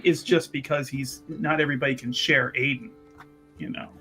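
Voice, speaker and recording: A man's voice: mid-range voice, steady tone, normal speed, bright, forceful tone, faint music behind. A man playing a tabletop roleplaying game, rambling in self-assured, improvised banter and casual table talk.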